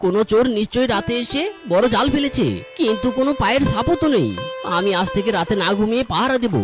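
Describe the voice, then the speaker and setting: Cartoon fox husband, female actor: highish voice, blustering, exclamatory, hard-luck air, music behind. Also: quick pace, lightly acted. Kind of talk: exaggerated cartoon voice acting.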